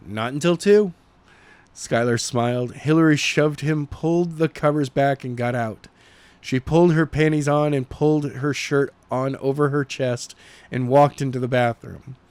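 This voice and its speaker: Male novice audiobook reader, middle pitch, halting delivery, dry close sound. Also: a little mellow, clean tone, dry recording. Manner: halting, stumbles over the text. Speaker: amateur audiobook narrator. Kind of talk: story narration read aloud.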